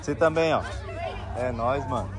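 A man's voice: medium pitch, normal speed. A young man with a São Paulo accent chatting in hyped, slangy style for a casual outdoor vlog. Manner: excitable, slangy. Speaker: kite channel vlogger. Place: outdoors at a crowded kite festival. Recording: outdoor crowd noise around the voice.

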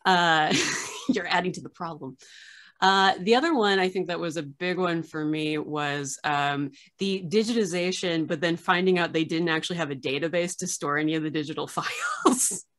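Lowish-voiced woman in her 30s chatting on a video call, laughs a lot, animated. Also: moderate pace. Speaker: archivist and show host. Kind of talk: casual video-call interview. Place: home room with framed pictures on yellow wall.

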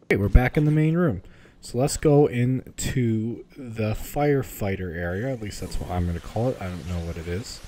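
Low, mellow man giving game tips. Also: normal speed, slight background noise. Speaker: video game guide host. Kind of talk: casual gameplay walkthrough commentary.

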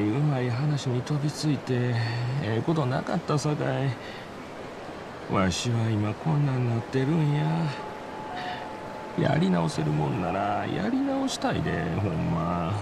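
A man's voice: medium pitch, lively intonation, slow pace, creaky. An actor playing a timid, weary older homeless man in a video game, hesitant and downcast, with a Kansai accent. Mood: reluctant, regretful. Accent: Kansai Japanese. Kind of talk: video game cutscene acting. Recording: loud street and traffic noise.

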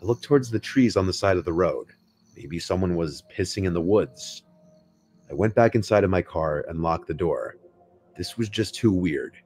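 A man narrating a scripted first-person scary story in a measured, unhurried way. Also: deep voice, lively intonation, a little mellow. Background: music behind the voice.

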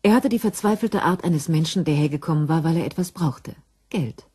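A woman's voice: sits low, fairly quick, dark tone, husky. A woman narrator reading scripted narration for a crime radio drama.

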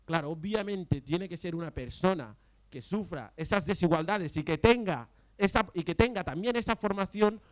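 The young man at a political rally's panel table, arguing his case in a high voice, close and dry on a handheld mic. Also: a little mellow, no echo. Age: young adult. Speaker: panel speaker. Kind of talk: impassioned political panel talk. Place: panel table in an event tent before an audience. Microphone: handheld mic close to the mouth.

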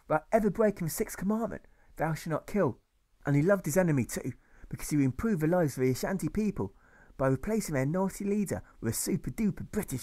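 A man's voice: high voice, darkish tone, voice pushed up. A man voicing a doting female Sunday school teacher who tells a child a story in cooing, sing-song baby talk.

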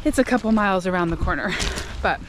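A woman's voice: medium pitch, fast. A woman in her thirties vlogging on a foggy lake shore, chatty and full of wonder. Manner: enthused, marvelling, chatty. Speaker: travel vlogger. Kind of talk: casual vlog narration. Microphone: handheld action camera. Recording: outdoor wind and water noise.

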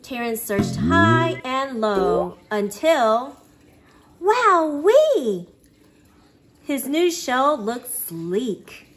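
A woman's voice: fairly high pitch, huge pitch swings, drawn-out words. A woman reading a children's picture book aloud expressively, acting out exclamations and sound effects. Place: living room at home.

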